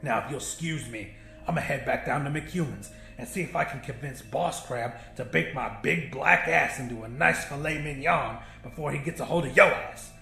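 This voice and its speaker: A man's voice: medium pitch, moderate pace, vocal fry, bright, forceful tone, echoey room, faint music behind. A man voicing a big, angry, foul-mouthed cook with a scornful, slangy drawl in an audiobook story. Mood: resigned.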